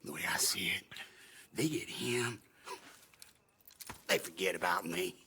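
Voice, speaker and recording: An actor playing a sly, gloating outlaw gang boss in a Western game, with a drawling, taunting manner. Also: male voice, deep voice, unhurried, pushed and bright, slight background noise. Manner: drawling, taunting, drops into breathy menace. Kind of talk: cinematic Western game acting.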